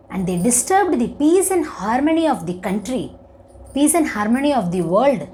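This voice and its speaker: A woman's voice: fairly high pitch, a little mellow, a little hiss or hum, low-quality recording. A woman with an Indian accent voicing a righteous, unyielding warrior prince in a storybook tale, earnest and emphatic.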